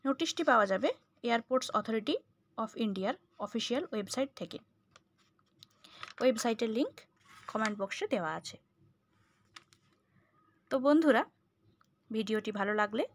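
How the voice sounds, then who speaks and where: A woman with an Indian Bengali accent reads scripted information as a presenter, in formal, clearly phrased announcements. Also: medium pitch. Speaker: presenter of a job updates channel.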